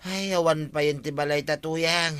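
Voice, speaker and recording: Actor playing a distressed, high-voiced village husband. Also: male voice, steady tone, moderate pace, clean tone, lightly acted. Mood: rueful. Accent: Ilocano. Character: distressed villager husband whose home and field collapsed. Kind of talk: Ilocano radio comedy drama acting. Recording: noisy radio drama with loud ambient effects.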